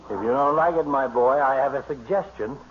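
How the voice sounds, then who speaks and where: An actor playing a pompous doctor in a 1950s radio comedy, needling others with dry mock formality. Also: male voice, medium pitch, a little fast, close to an everyday voice. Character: pompous, drily needling doctor on a hunting trip. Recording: muffled old radio recording with noise.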